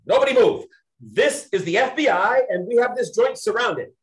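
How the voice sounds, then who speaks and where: A performer playing a gruff, suspicious, officious male federal agent in an amateur 1920s period play. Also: mid-range voice, animated, moderate pace, lightly acted. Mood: commanding. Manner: brusque, officious.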